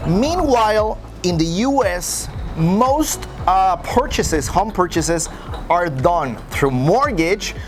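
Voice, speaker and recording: A man's voice: sits high, animated, slow, a little hiss or hum. Mexican man with an American-sounding accent. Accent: American-sounding English. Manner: friendly, explanatory, with frequent "uh" fillers. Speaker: real estate broker guest. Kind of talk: interview answers.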